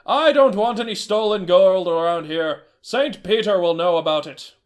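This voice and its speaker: A man voicing a stern, suspicious old horse trader who speaks loudly and accusingly. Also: high voice, moderate pace, voice pushed up, lightly acted. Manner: loud, gruff and accusing.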